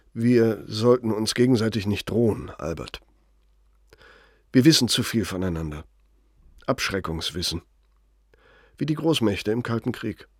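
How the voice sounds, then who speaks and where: Bitter old man in a radio drama, deep-ish creaky voice, sardonic n reproachful, takes his time. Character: embittered older German man, betrayed former confidant, proud and accusing.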